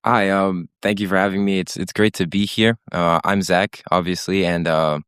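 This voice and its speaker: A man's voice: low voice, fairly even intonation, moderate pace, fairly bright tone, smooth, no echo, clean studio sound. A young man, a podcast guest who talks hesitantly with many fillers. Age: late teens. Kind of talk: casual chat.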